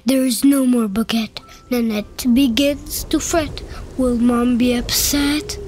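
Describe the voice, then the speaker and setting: A woman reading a children's picture book aloud in a slow, playful storybook manner. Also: fairly high pitch, darkish tone. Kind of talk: children's read-aloud narration. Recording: faint music behind the voice.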